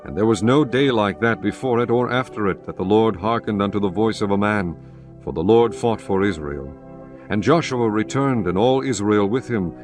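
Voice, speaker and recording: A man narrating scripture in a measured, solemn way. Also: on the low side.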